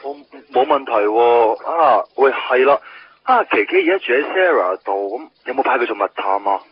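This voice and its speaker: A man's voice: medium pitch, animated, normal speed, a little hiss or hum, lightly acted. An actor playing a chatty, nosy and helpful young male friend in a radio drama. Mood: nosy teasing. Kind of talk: Cantonese radio drama acting. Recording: dull radio drama recording with music.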